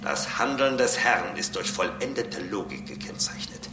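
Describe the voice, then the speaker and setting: An actor in a radio drama playing a calm, condescending robot who preaches solemnly and pedantically about serving a higher master. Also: male voice, moderate pace. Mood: lofty, preaching. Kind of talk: German radio drama acting. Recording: roomy echo, music bed behind.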